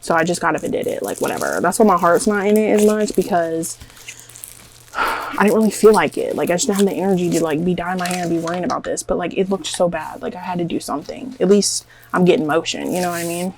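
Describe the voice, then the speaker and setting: A woman's voice: fairly low pitch, quick pace, noisy background. A woman with a Southern US accent chatting to her camera at home in a rambling, nervous, self-mocking way, with lots of "like". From American South. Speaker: beauty vlogger. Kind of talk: rambling casual vlog chat to camera. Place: at home, self-filmed while dyeing hair.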